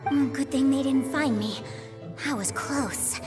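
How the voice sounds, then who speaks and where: Anxious, plucky little boy, high voice. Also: a little slow, music in the background. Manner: hesitant, earnest. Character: nervous, plucky little boy acting as a decoy. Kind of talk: video game voice acting.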